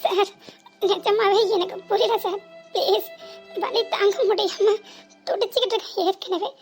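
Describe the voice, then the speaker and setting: A woman voicing a fallen old woman who groans, wails and pleads for help in an animated story. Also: extremely high pitch, moderate pace, soft background music. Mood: pleading. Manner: wailing, pleading and groaning in pain.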